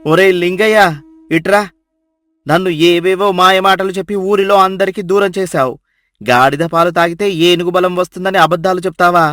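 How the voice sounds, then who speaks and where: A man's voice: sits high, fairly even intonation, a little fast, a bit bright, no echo, studio quality. A man voicing a proud, emphatic older village headman in a Telugu moral story. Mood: angry, scolding. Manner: emphatic, blustering, commanding. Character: boastful loud old village headman, gullible, later humbled.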